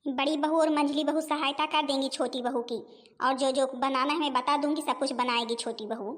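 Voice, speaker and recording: A woman's voice: high-pitched, fairly even intonation, normal speed, close to an everyday voice. A woman voicing a stern, domineering middle-aged mother-in-law in a family comedy cartoon, scolding and taunting. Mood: bossy, disbelieving. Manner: scolding, taunting, repeats phrases mockingly.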